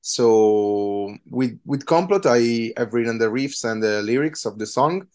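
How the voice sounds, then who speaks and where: A man's voice: medium pitch, animated, measured pace, a little mellow, clear, resonant tone. A man with an Italian accent, in his thirties, a punk musician answering interview questions in a hesitant, casual way with many fillers. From Italy.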